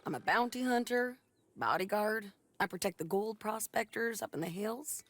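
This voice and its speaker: An actress playing a tough, brash frontier bounty hunter woman with an American Western accent and gravelly voice, in a video game. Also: medium pitch, expressive, moderate pace, no room sound, lightly acted. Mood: brash, persuasive.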